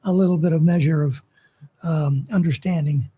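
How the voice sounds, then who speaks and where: Hoarse, husky man co-host. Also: a little high, steady tone, mellow. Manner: joking about himself, halting. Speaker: radio show co-host. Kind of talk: casual radio talk.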